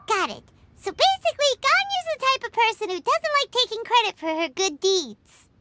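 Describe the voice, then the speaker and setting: A woman voicing a small, perky, childlike fairy companion in a video game, chirpy, squeaky and full of curiosity. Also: big pitch swings, normal speed, heavy background noise. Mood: curious, chatty. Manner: speaks of herself in the third person, perky. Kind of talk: video game character acting. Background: music.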